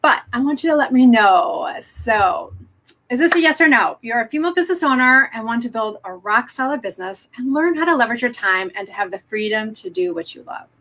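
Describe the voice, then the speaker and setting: Middle-aged woman coach, higher-pitched voice. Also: expressive, fairly quick, fairly bright tone. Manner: upbeat, encouraging, often prompts viewers directly. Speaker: business coach hosting a live webinar. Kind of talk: live online presentation to viewers.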